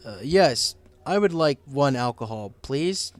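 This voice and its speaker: A man's voice: medium pitch, animated, measured pace, soft background music, natural voice. A man voicing a nervous young male dragon in a storybook, stiffly and hesitantly pretending to be a grown-up. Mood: nervous bravado.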